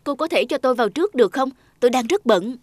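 A woman's voice: high voice, animated, fast talker, dry recording, studio quality. A woman voicing a vain, pushy famous female singer in a cartoon, sounding demanding and indignant.

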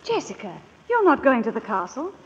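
An actress playing a gentle, indulgent aunt of noble family in a 1940s style radio drama, with genteel, affectionate phrasing. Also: female voice, high voice, wildly swooping pitch, a little fast, husky, heavily acted. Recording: dull old radio recording, long hall echo.

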